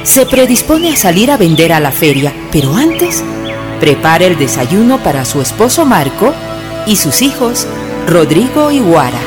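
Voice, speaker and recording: A woman narrating a scripted radio drama in a deliberate storytelling manner. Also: on the low side, very animated. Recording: music behind the voice.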